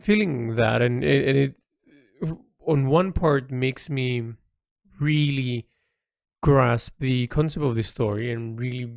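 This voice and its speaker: A man speaking a reflective audio diary as an artist, halting and introspective as he searches for words. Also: medium pitch, vocal fry, a little mellow, no room sound, studio quality. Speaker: artist recording an audio log.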